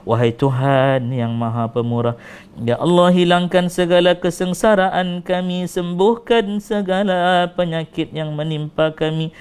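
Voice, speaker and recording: A man's voice: high-pitched, steady tone, a little slow, a little mellow, clear, resonant tone. A man with a Malaysian Malay accent leading an emotional supplication prayer, pleading in a rising and falling recitation with repeated invocations.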